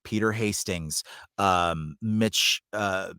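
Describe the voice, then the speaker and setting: A man's voice: sits low, extremely slow, sharp tone, clean tone, no room sound, clean studio sound. A middle-aged man speaking earnestly and conversationally as a guest in a podcast interview, a working voice actor and coach.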